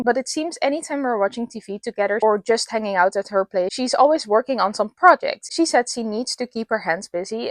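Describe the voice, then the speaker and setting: A woman's voice: fairly high pitch, no room sound. Chatty young woman, dry close sound. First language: Dutch. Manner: chatty and explanatory, with sudden bursts of emphasis. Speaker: video host. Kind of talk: casual commentary reading online posts.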